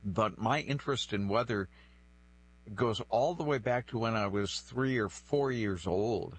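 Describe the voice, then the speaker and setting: A man's voice: lowish voice, measured pace. A man in his sixties from the northeastern United States, a native French speaker, telling personal stories reflectively as an interview guest. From New Bedford, northeastern United States. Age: 60s. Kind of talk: conversational interview, personal storytelling.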